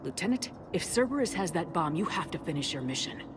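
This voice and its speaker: Video game military commander, female: lowish, firm, blunt authority, clear background noise. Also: very animated, a little fast.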